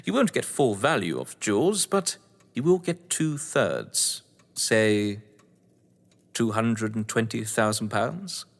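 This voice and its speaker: An actor playing an urbane, cunning English crime novelist in an audio drama, pompous, condescending and wordy. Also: male voice, fairly low pitch, big pitch swings, slow, vocal fry, full character voice. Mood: smug, persuasive. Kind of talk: theatrical audio drama acting. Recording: echoey hall-like reverb.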